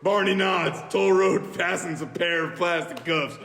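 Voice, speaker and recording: A man's voice: high voice, fairly even intonation, a little slow, creaky, a bit bright. A man narrating stage directions from a screenplay in a dramatic way at an amateur table read. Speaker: podcast member reading stage directions. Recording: echoey hall, faint noise.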